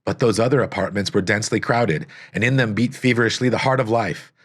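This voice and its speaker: A man reading a gothic story aloud as scripted narration, with solemn, dramatic, deliberate phrasing. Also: fairly low pitch, husky. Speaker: audiobook narrator.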